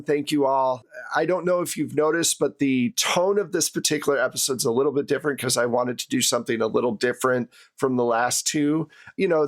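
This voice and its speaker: Man, game master, casual podcast talk, mid pitch, out of character.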